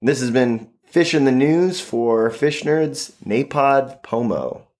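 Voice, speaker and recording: A man's voice: medium pitch, animated, takes it slowly, darkish tone. A man reading out a scripted news story as a listener contributor to a podcast.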